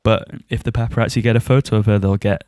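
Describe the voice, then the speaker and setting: A man's voice: on the low side, steady tone, quick pace, mellow, clear, resonant tone, dry recording, studio quality. A man co-hosting a podcast, giving a casual review and often chuckling as he talks. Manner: chuckles often while talking.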